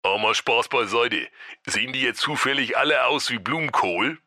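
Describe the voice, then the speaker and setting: A very bright, forceful male call-centre agent from a comic fairytale, acted by a man. He is breezy and unbothered, rattling off chatty officialese deadpan in a dry, close sound. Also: mid-range voice, normal speed, dry recording. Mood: matter-of-fact.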